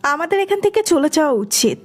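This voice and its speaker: A woman's voice: high voice, animated, quick pace, faint music behind. A woman playing an anxious, fretful young woman in an audio story. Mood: panicky. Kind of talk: Bengali audio story acting.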